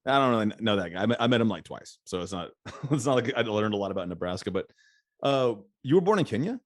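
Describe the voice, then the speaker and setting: A man's voice: on the low side, sing-song, fast, no echo, clean studio sound. A man hosting a podcast, talking in a loose, rambling way and laughing often. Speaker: podcast host. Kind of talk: casual interview chat.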